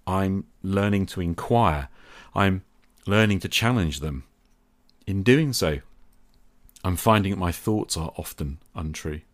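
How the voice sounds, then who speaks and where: Male podcast host, low-pitched voice, reflective monologue, deliberate phrasing, rhetorical questions. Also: lively intonation.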